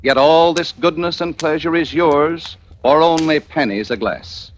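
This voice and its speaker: A man's voice: a little high, unhurried. A man reading a scripted 1940s radio advertisement as an announcer with a polished sales delivery. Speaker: commercial announcer. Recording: noisy old radio broadcast.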